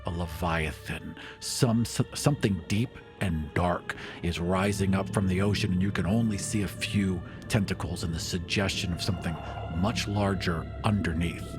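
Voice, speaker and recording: A man with a low voice acts as game master. He narrates atmospherically in deliberate, dramatic phrases, with music clearly behind him.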